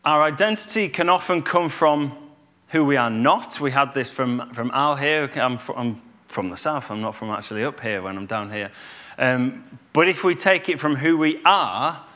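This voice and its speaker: A man, giving a calm, conversational church talk with fillers and restarts. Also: mid-range voice, normal speed. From Yorkshire, England. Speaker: church speaker.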